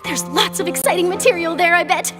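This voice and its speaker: A woman's voice: very high-pitched, a little fast, in a large hall, over music. An actress playing an eager, chatty, persistent young female news reporter in a video game, with a bubbly, pushy manner. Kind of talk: animated video game voice acting.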